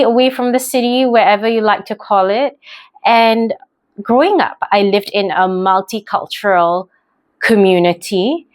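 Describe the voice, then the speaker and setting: A woman's voice: lowish voice, dry recording. A young Malaysian woman with a Malaysian accent, chatting casually about her life with rambling, self-correcting asides. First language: English. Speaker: vlogger. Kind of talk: casual personal storytelling.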